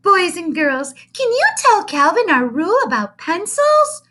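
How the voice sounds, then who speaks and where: Bright, very high, sing-song teacher who gushes over little kids, read by a woman. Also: big pitch swings, a little slow, heavily acted. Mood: gushing. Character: gushing cheerful woman kindergarten teacher.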